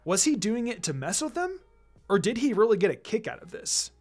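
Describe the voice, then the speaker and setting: A man's voice: high-pitched, very animated, fairly quick. A man reading a story aloud in a dramatic scripted narration style. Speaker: narrator reading fan fiction. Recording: music behind the voice.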